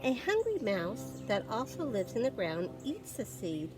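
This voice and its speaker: A woman storyteller reading a picture book aloud to young children, expressive and dramatic, with deliberate pauses and emphasis. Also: on the high side, over music. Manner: expressive, dramatic pauses, gasps and exclamations for effect.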